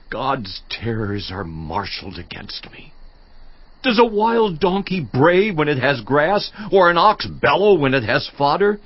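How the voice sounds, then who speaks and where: A man's voice: a little high, no room sound, muffled recording. A man voice-acting Job, a suffering man pleading in anguish, in a dramatized Bible reading. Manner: anguished, pleading, dropping to hushed tones. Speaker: voice actor.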